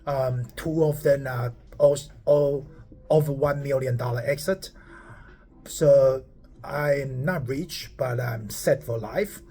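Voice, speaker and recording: Man from Taiwan, 40s, founder, casual talk to camera, rambling, repeats himself, keeps saying okay, middle pitch, phone mic. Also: slow, warm tone, breathy edge. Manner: rambling, repeats words and asks "okay?" often. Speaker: startup founder.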